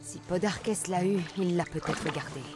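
Une actrice de doublage jouant une guerrière mercenaire posée et pince-sans-rire dans un jeu vidéo. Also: female voice, fairly low pitch, moderate pace, over loud music, lightly acted. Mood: composed, determined. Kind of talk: dubbed video game acting.

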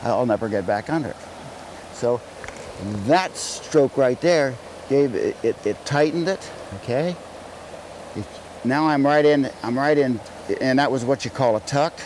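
A man's voice: mid-range voice, a little slow, darkish tone, low-quality recording. Older guy fly fishing guide talking casting out in a stream, folksy, keeps repeating himself, faint water noise behind. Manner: folksy, repeats words while explaining. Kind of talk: outdoor fishing instruction. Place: wading in a wooded trout stream outdoors. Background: faint stream and outdoor ambience.

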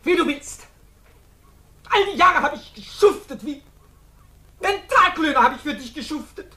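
A woman's voice: high voice, animated, normal speed, pushed and bright. An actress playing a stern, domineering middle-aged businesswoman in a 1950s radio drama, reproachful and scornful. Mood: bitter, scornful.

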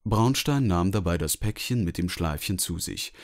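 Low male voice, narrator reading a novel, scripted storytelling. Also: lively intonation, moderate pace.

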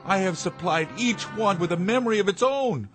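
An earnest, animated inventor father. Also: high voice, faint music behind. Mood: proud, insistent. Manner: emphatic, deliberate, theatrical. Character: proud inventor father, earnest, patient but exasperated. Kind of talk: radio drama acting.

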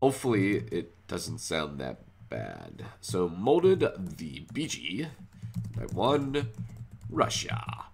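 A man's voice: deep voice, huge pitch swings, slow, vocal fry, a little hiss or hum. Congested man narrating gameplay. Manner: chatty, thinks aloud while playing. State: congested from a cold. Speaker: gaming channel host. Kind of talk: casual gameplay commentary. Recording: faint music bed underneath.

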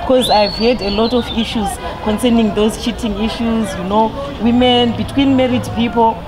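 A woman's voice: on the high side, fairly even intonation, normal speed, rough recording. A young woman who runs a beauty spa, answering a street interviewer frankly and laughing easily. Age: young adult. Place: outdoors on a roadside. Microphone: interviewer's handheld mic. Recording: clear street noise.

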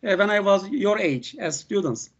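An older man with a Turkish accent lectures students over a video call. His delivery is halting, with "uh" and "okay" scattered all through it. The computer-mic audio sounds dull and lo-fi. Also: high voice, lively intonation, slightly rough. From Turkey. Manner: halting, many "uh" fillers and "okay" checks. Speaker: university professor. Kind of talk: online lecture.

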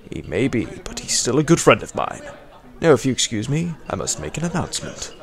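A man voicing a genial, wealthy mansion host and factory owner who brushes off trouble with a smooth, hospitable manner. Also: mid-range voice, very animated, a little fast, a crowd in the background. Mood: formal. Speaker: party host.

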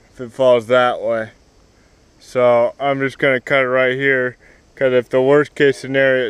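A young man explaining his tree cutting as he goes, in a halting casual way with many fillers and restarts, recorded outdoors in a wooded yard on an action camera. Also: medium pitch, clean tone, no echo. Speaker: vlogger. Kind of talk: casual explanation while working. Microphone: action camera on the ground.

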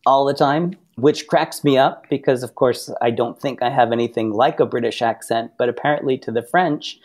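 A man's voice: medium pitch, moderate pace, a little mellow. A man from Massachusetts, a guest on a podcast, telling stories in an easygoing, talkative way. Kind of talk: casual interview chat.

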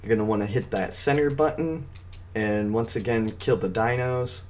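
A man narrates a video game guide in a fairly low voice with a slight scratch to it. He explains things step by step in a casual, instructional way, as if walking viewers through the route. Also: moderate pace, a little mellow. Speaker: gaming video guide host.

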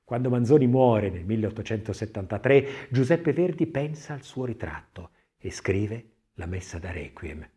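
A man narrating an audiobook from a script in a measured, theatrical reading manner. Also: fairly low pitch, animated, mellow, husky.

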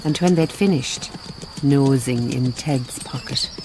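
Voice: medium pitch, slow pace, background noise. A narrator delivering scripted storytelling for a radio drama.

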